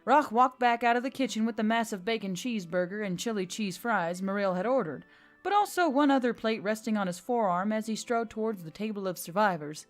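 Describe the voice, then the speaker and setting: A woman's voice: medium pitch, expressive, a little fast, soft background music. A woman reading scripted fiction as an audiobook narrator.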